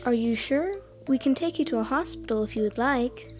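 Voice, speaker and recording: A concerned, attentive nurse, acted by a woman in a cartoon comic dub. Her voice is high and mellow, with faint music behind it. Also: brisk, natural voice. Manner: concerned, questioning. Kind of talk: amateur comic dub acting.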